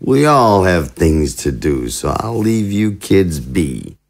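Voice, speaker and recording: A man plays a glib, mischievous political boss in an audio drama. His voice is low and slow, swinging widely in pitch as he delivers sly, folksy lines. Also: sing-song, gravelly, full character voice. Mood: breezy, teasing. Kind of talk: audio drama dialogue acting.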